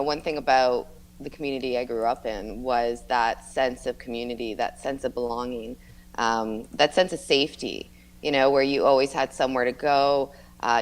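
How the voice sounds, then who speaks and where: A middle-aged woman with a strikingly low voice for a woman. She speaks as a local political candidate, answering interview questions in an earnest, conversational way. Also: poor audio. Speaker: mayoral candidate being interviewed.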